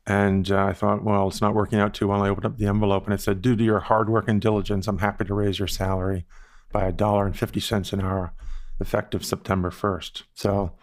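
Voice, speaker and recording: A man in his sixties from the Bahamas, telling stories about his career in a measured, reflective way, as an interview guest. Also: on the low side, level tone. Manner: measured, reflective, with frequent "you know" asides. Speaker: guest, investment firm founder. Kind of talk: relaxed storytelling interview answers.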